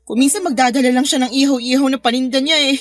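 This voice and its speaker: A young woman playing an exhausted, frustrated new mother in an audio drama, speaking in a weary, emotional, halting way. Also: sits high, fairly even intonation, lightly acted. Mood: weary, aggrieved.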